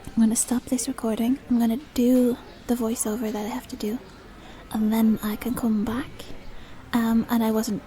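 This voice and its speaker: Mellow woman, often whispering. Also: a little high, flat delivery, moderate pace, rough recording. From Dublin, Ireland. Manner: rambling, self-deprecating. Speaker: ASMR video creator. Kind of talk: ASMR, rambly casual chat.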